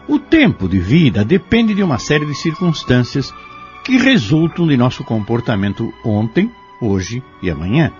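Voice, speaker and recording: A Brazilian man delivers a scripted religious reflection in a formal, teaching manner. His mid-pitched voice moves widely up and down, over faint music in a slightly dull recording. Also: a little mellow. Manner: measured, instructive, formal. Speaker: spiritist commentator. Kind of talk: didactic scripted religious commentary. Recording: slightly muffled, with music behind. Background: background music.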